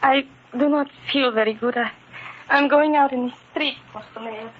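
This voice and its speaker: An actress playing an earnest, wounded immigrant wife with a foreign accent, speaking carefully and formally in an old-time radio drama. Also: female voice, sits high, normal speed, heavy background noise, close to an everyday voice. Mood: stunned, hurt. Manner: careful, formal, uncontracted speech. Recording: muffled, dull old radio recording.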